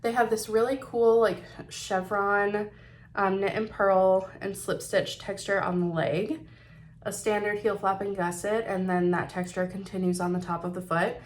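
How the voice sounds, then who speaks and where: A woman's voice: medium pitch, steady tone, normal speed. A woman hosting a knitting video podcast, chatting casually about her projects in a nasal voice because she is getting over a cold, upbeat with some fillers and slips.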